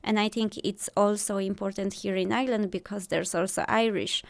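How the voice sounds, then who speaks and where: A woman in her thirties with a Polish accent, explaining her views calmly as a guest on a talk show. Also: mid-range voice, a little fast, gravelly. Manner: thoughtful, explanatory, frequent "like" and "you know". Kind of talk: casual interview talk.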